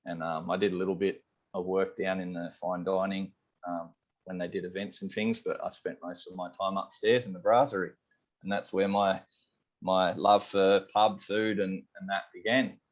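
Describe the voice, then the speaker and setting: Deep-voiced Australian chef, rambling. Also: male voice, normal speed. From Sydney, Australia. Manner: rambling, relaxed, many fillers like "you know" and "um". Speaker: head chef, guest. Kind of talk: casual interview chat. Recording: slightly dull lo-fi audio.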